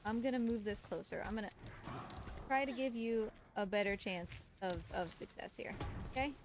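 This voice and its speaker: A woman's voice: medium pitch, moderate pace. A woman doing casual live gameplay commentary as a speedrunner, with a playful, exasperated, scolding manner. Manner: talks to the game creature in playful, exasperated scolding. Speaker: speedrunner streaming live for a charity marathon. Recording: clear background game noise and ambience.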